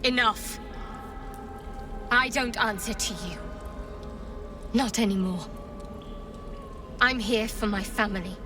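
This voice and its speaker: A woman's voice: mid-range voice, takes it slowly, pauses often, distant mic, natural voice. A woman voicing an intense, emotional young heroine in a fantasy video game, defiant and wounded. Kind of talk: dramatic game dialogue. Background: background music.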